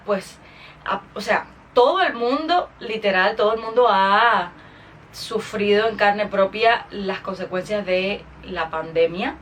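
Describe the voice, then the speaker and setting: A woman's voice: medium pitch, a bit bright, far from the mic, a little hiss or hum. A woman with a Cuban accent hosting her podcast in a chatty, informal monologue to her followers. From Cuba. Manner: chatty, informal, with English interjections. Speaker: podcast host and singer.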